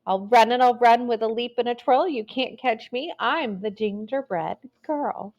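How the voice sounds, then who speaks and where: A woman's voice: fairly high pitch, measured pace, highs cut off. A woman voicing a plucky gingerbread girl cookie in a storybook, with teasing, sing-song rhymes. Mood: teasing, gleeful. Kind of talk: storybook read-aloud.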